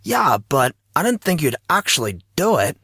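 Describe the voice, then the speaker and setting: A man's voice: a little high, big pitch swings, normal speed, gravelly, bright, forceful tone, breathy edge, no echo, clean studio sound, exaggerated voice. A man playing an informal, slangy teenage schoolboy in an audio drama. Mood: teasing. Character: teenage schoolboy, emotional and bantering with close friends.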